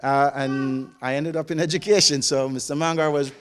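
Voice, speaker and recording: A man from Belize, a college faculty member, answering an interview earnestly with halting restarts. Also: a little high, fairly even intonation.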